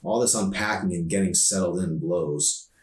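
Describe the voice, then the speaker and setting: A man's voice: deep voice, moderate pace, natural voice. Chatty sardonic teenage girl acted by a man, echoey. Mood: exasperated. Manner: slangy, emphatic, complaining. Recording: roomy echo, distant microphone.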